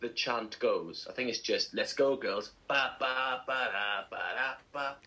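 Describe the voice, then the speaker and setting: A man co-hosting a casual podcast, chatty and animated, laughing often as he tells stories. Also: medium pitch, a little slow, crowd noise. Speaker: podcast co-host. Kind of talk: casual chat.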